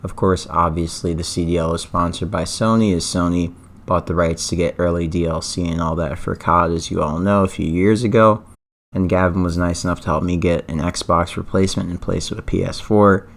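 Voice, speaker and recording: A man with a hoarse, worn-out voice hosts his own esports news vlog. He sits low in pitch and talks to camera in a loose, casual way, eager and keen on doubling up words for emphasis. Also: steady tone, a little fast. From Atlanta, US. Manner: enthusiastic, repeats words for emphasis.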